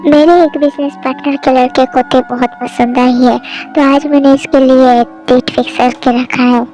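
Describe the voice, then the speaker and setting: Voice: sits high, fairly even intonation, moderate pace, darkish tone, faint music behind, pitched below the performer's own voice, lightly acted. A young reader voicing a strict middle-aged father who orders his daughter around, in a commanding, scolding manner. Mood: stern. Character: stern controlling father, middle-aged man.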